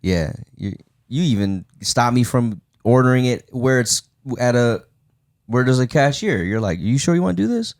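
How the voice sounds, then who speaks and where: A man's voice: mid-range voice, expressive, normal speed. A man in his thirties co-hosting a podcast, chatting casually in a laid-back, agreeable way with plenty of slang. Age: 30s. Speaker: podcast co-host. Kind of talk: casual chat.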